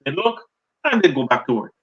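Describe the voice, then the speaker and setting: A middle-aged Trinidadian man, a journalist and author, answering interview questions as a lively storyteller. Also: fairly high pitch, a little fast, breathy edge, lo-fi sound. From Trinidad and Tobago.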